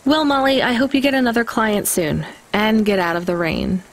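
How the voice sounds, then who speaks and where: A woman's voice: fairly high pitch, normal speed, lightly acted. A woman playing a weary, guarded but caring young female delivery driver in a video game, with a dry, informal manner. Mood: guarded, curious.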